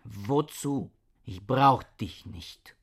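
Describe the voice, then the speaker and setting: An actor playing an aloof, irritable bookish scholar with a pedantic, curt manner in a German radio drama. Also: male voice, mid-range voice, very slow, creaky, husky, full character voice. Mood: cold, irritable.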